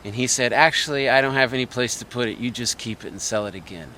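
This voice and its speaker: A middle-aged man, a sculptor, chatting and telling a long anecdote in a relaxed interview. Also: mid-range voice, fast talker, a little hiss or hum, low-quality recording. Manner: chatty anecdotal storyteller, laughs often. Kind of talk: casual storytelling interview.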